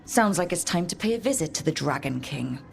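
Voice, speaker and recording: Resolute, wry game heroine: lowish voice with faint music underneath. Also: female voice, lively intonation, brisk, gravelly, exaggerated voice. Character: resolute, wry heroine leading her team. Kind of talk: video game cutscene acting.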